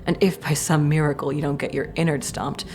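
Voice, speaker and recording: An actress playing a wary, sardonic female thief who grumbles in a hushed, gruff way in a fantasy audio drama. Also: deep voice, steady tone, brisk, slight background noise, natural voice. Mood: sardonic, defensive.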